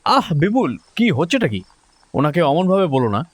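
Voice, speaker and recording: A man's voice: a little high, sing-song, normal speed, husky, no room sound. A man playing a respectful, questioning villager at a tea-stall gathering in an audio story. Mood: gently reproving.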